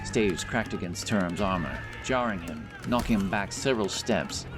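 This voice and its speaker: A man's voice: low voice, lively intonation, normal speed. A man reading scripted fantasy narration as an audiobook narrator, with background music behind him. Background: background music and effects.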